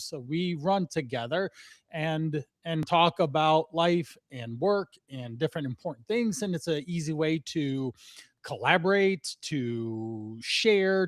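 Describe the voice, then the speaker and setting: Man, forties, podcast host, chatty, upbeat, slightly higher voice, dry close sound. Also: no echo. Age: 40s. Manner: chatty, upbeat, laughs often. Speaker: podcast host, fitness coach. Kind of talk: casual podcast conversation.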